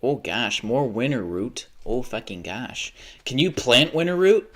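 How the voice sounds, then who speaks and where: A man's voice: medium pitch, sing-song, unhurried, a bit bright, a little hiss or hum. A young man livestreaming a video game, rambling casually, swearing often and laughing at his own jokes. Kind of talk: casual live gaming commentary.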